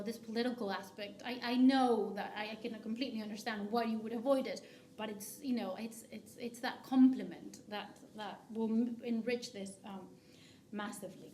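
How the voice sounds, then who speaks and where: Higher-pitched woman in an echoey room. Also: darkish tone, a little hiss or hum. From Argentina. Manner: hesitant, many restarts and fillers. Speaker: discussant at a book talk. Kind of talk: academic commentary.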